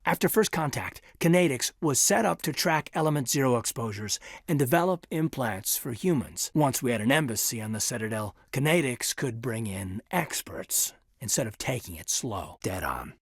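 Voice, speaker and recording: An actor playing an earnest, reserved military officer in his thirties in a sci-fi video game, speaking in a measured, hesitant, husky voice. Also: medium pitch, dry recording, studio quality. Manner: hesitant, measured, sincere, deferential. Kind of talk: video game character dialogue.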